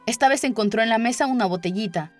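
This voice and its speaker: A woman's voice: on the high side, quick pace. A woman reading a children's story aloud as scripted audiobook narration. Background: background music.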